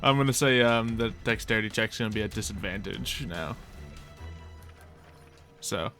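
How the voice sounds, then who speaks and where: A man with a bright, forward voice runs a tabletop fantasy game as game master. He explains the rules and describes the action in a casual, conversational way, with faint music playing behind him. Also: lowish voice, normal speed.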